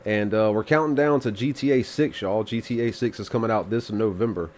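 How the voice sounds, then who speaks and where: A man's voice: fairly low pitch, a little fast, darkish tone, slight background noise. A man hosting a live music review stream, congested from the flu, talking in a rambling, laid-back way with casual swearing. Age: adult with children.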